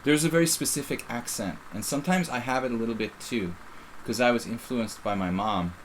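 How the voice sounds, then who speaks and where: A man's voice: mid-range voice, a little fast, slight background noise, poor audio. A middle-aged man with a faint Philadelphia accent, casually commenting on his own live chess game in a rambling, self-interrupting way. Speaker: chess streamer.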